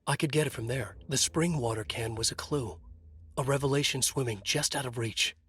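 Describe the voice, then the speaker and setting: An actor playing a haunted, trapped writer, narrating his nightmare in a moody, deliberate noir style for a video game. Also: mid-range voice, a bit bright, husky. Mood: brooding, tense.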